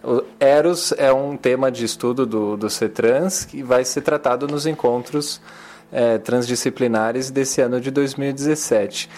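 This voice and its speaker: A man's voice: medium pitch, fairly even intonation, measured pace, a little mellow. A man in his thirties hosts a community radio talk, thinking aloud and restarting phrases as he searches for words. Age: 30s. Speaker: radio program host. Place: publisher's recording studio. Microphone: handheld recorder.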